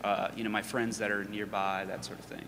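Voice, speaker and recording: A man presenting his startup product in a measured way with frequent fillers. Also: fairly low pitch, flat delivery, creaky, fairly bright tone. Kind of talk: product pitch. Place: conference hall. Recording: long hall reverb, faint hum.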